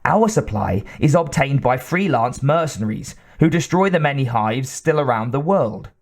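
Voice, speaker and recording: A man's voice: medium pitch, expressive, normal speed, vocal fry. A man voicing a nervous little male scientist who stammers, with a nasal twang and a slightly raised voice. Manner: stammering, timid.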